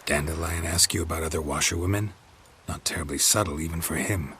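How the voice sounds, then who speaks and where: Super deep stoic monster hunter, dry and deadpan, terse, game dialogue w faint ambience. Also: male voice, fairly quick, a little hiss or hum. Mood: dry curiosity. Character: stoic, wry grey-haired monster hunter. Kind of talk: video game dialogue acting.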